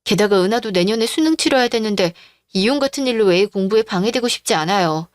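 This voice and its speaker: A woman's voice: medium pitch, expressive, quick pace, no echo, studio quality, natural voice. A woman playing a devoted, patient middle-aged daughter-in-law and mother in a radio-drama style story. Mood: gentle, resolute.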